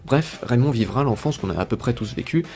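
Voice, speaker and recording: A man's voice: medium pitch, animated, a little fast, creaky, a little mellow. A young man giving a scripted, enthusiastic review as a video essayist. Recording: music playing under the voice. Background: background music.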